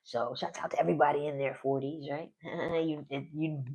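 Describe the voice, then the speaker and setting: A man's voice: a little high, steady tone, normal speed, creaky, a little mellow, rough recording. A man in his forties casually narrating his solo tabletop game on a live stream, rambling, trailing off mid-sentence and laughing often. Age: 40s.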